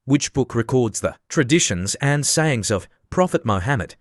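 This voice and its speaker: A man hosting a quiz, reading out questions and answers in a plain, measured way. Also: mid-range voice, no room sound, clean studio sound. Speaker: quiz host. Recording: clear steady background noise.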